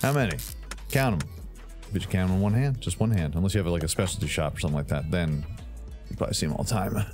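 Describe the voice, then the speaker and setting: A man's voice: sits low, fast talker, a little mellow. A man hosting a gaming channel, giving playful commentary while fixing up a hot rod, breaking into silly sound effects and bits of song. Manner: goofy, sings and makes silly sound effects. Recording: faint game music behind.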